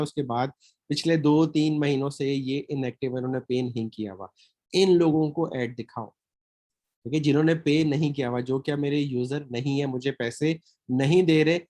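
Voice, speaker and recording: A man's voice: mid-range voice, darkish tone, no echo. A man from Pakistan with a sore throat, giving a recorded lecture as a marketing trainer, often checking in with his listeners. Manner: explanatory, checks in with "theek hai?" often.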